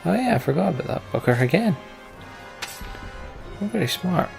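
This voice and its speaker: A man's voice: fairly high pitch, very animated, moderate pace, vocal fry, over music. A man giving casual, informal gameplay commentary, half talking to himself with sweary, sardonic asides. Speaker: let's play host.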